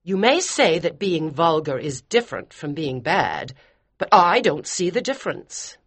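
A prim, snobbish old aunt who disapproves of everyone, her voice raised and tight with scorn, as a male reader puts her on. She sounds clipped and judgmental, set apart from the narrator's own deep voice. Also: big pitch swings, measured pace, a bit bright, husky, full character voice. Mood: disapproving.

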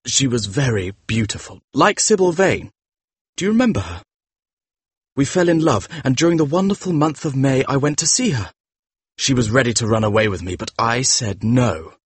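A guilty, earnest young gentleman, as a man voices him for an audiobook, speaking softly in a raised, hushed register. His pitch moves restlessly, slightly husky, with a dry close sound. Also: lively intonation, dry recording. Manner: soft, hushed, quick bursts. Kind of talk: audiobook character voice.

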